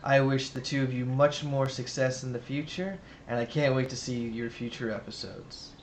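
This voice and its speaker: A man's voice: medium pitch, normal speed, slight background noise. A middle-aged man speaking to camera, giving a short congratulatory message as a guest. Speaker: guest well-wisher.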